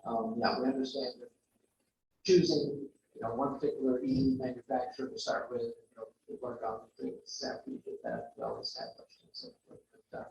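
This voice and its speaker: A man's voice: medium pitch, expressive, brisk, long pauses, noisy background. Man hosting in a very echoey hall. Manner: rambling, filler-heavy. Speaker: meeting host. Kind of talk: moderating Q&A at a club meeting. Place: meeting room. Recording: very echoey room, distant dull mic.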